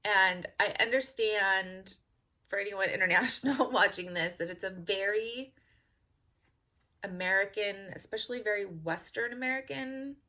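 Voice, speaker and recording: A woman's voice: mid-range voice, moderate pace, bright, forceful tone. A woman from California with a Californian accent, chatting casually to camera in a giggly, rambling way. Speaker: vlogger.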